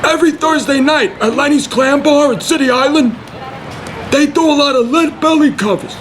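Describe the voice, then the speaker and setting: A male actor plays a good-hearted construction worker with a New York accent and a strikingly high voice for a man. He rambles earnestly and stays unfazed, as in an audio play. Light street noise sits behind him. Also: normal speed, a bit bright, some chatter behind, rough recording. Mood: upbeat. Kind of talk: scripted audio play dialogue.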